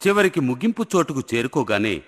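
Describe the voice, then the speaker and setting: A man's voice: medium pitch, very animated, fast, a little hiss or hum. A man narrating a scripted moral story for children as the storyteller. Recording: long hall reverb, faint music.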